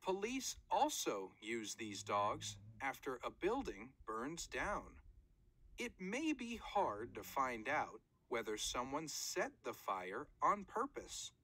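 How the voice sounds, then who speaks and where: A woman's voice: a bass voice, big pitch swings, takes it slowly, fairly bright tone. A woman reading a children's nonfiction book aloud in short, careful phrases, like a read-aloud narrator.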